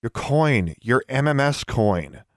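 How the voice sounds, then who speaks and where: A man's voice: mid-range voice, very animated, slow pace, dry recording, clean studio sound, voice pushed up. Man as a bold, demanding teenage girl. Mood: firm reassuring. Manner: blunt, demanding, firm. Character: bold, confrontational teenage girl, firm leader.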